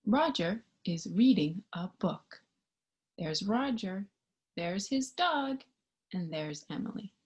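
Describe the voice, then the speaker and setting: A woman reading a picture book aloud to young children in an expressive, child-directed storytime style. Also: mid-range voice, takes it slowly. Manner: expressive, child-directed, with questions and sound effects.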